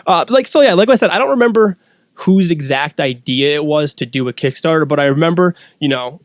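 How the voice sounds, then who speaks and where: A man's voice: on the high side, expressive, a little fast, no echo, studio quality. A man telling stories in a casual, wordy, informal way as a podcast guest. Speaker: podcast guest and former band member. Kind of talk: casual conversational storytelling.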